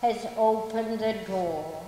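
A woman's voice: mid-range voice, takes it slowly, warm tone, a little hiss or hum. An older woman giving a solemn dramatic reading from a stage. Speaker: actress and reader in a recital. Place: concert stage in a hall. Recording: very echoey hall.

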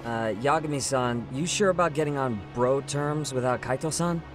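A man's voice: mid-range voice, moderate pace, music in the background, lightly acted. An actor playing a cheeky, easygoing young male sidekick in a video game. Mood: teasing. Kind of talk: video game dub acting.

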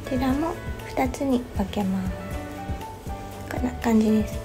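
A young woman with a slightly high voice talks through a hair styling tutorial in gentle, step-by-step instructions. She is a hairstylist in her twenties, and clear background music runs under her voice-over. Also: normal speed. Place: small bright studio indoors.

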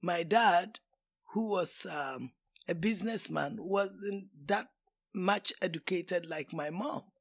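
Slow-talking older Ghanaian woman. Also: lowish voice, husky. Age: 60s. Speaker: guest. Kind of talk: casual interview.

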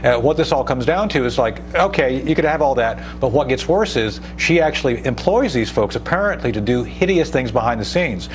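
A man's voice: mid-range voice, expressive, brisk, hardly any pauses. American man explaining emphatically over a hum. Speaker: guest commentator, retired army officer. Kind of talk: live TV news interview commentary. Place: TV news studio. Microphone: lapel mic.

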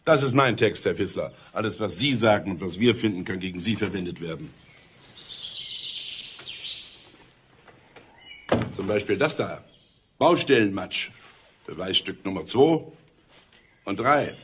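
A man's voice: low-pitched, animated, normal speed, fairly bright tone, a little hiss or hum. An actor playing a stubborn, sardonic male police detective in a German radio crime drama. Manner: blustering, sardonic.

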